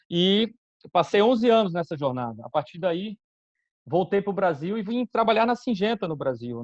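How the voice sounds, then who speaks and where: A Bahian man in his forties answers interview questions in a measured, deliberate way, often restarting his phrases. His voice sits a little high for a man, and the recording is dry and dull, with little room sound. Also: no echo. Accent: Bahian Brazilian Portuguese. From Salvador, Bahia, Brazil. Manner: measured, with frequent restarts and fillers. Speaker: guest, company executive.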